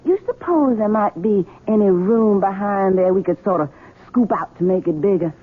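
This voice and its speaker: An actress playing a proud, stubborn wife in a 1970s radio drama, curt and prickly. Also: female voice, mid-range voice, normal speed, husky, natural voice. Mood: chatty. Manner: curt and prickly, easily dazzled. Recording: lo-fi phone-like old radio broadcast.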